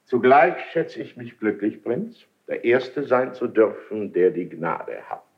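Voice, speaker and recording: An actor playing a deferential royal envoy in a classical verse radio drama, speaking formally and ceremoniously. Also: male voice, mid-range voice, big pitch swings, unhurried, muffled recording.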